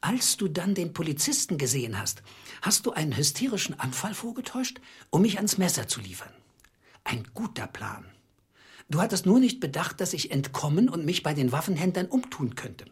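Bitter husband in radio play, husky, calculating, accusing and sarcastic. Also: male voice, fairly high pitch, sing-song, moderate pace, full character voice. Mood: bitter accusation. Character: bitter, calculating small clerk confronting his treacherous wife. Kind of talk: radio drama acting.

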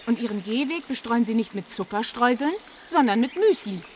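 An actress playing a resourceful, cheeky heroine in a children's radio play, with a brisk, lecturing, persuasive manner. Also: female voice, a little high, expressive, a little hiss or hum, natural voice.

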